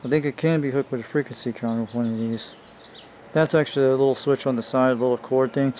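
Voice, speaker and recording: Male hobbyist, mid-pitched, informal and rambling, talking viewers through gear on a noisy amateur video. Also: steady tone, fast, a little mellow. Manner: informal, rambling, addressing viewers as you guys. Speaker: hobbyist showing a vintage CB radio. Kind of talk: casual hands-on show-and-tell.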